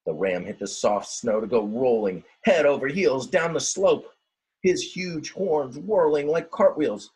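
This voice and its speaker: A man reading a children's storybook aloud in a dramatic, expressive way, with simple explanatory asides for young listeners. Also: mid-range voice, measured pace, breathy edge. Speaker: teacher reading a picture book aloud.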